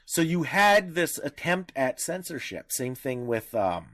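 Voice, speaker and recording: A man co-hosting a casual discussion, talking haltingly, often searching for words and rephrasing as he goes. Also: a little high, very animated, a bit bright. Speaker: podcast co-host.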